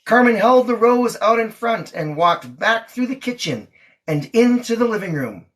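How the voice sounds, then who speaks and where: A woman's voice: a little high, animated, moderate pace. A woman reading a storybook aloud to a child, acting out the lines expressively. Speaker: mother reading to her daughter on a livestream.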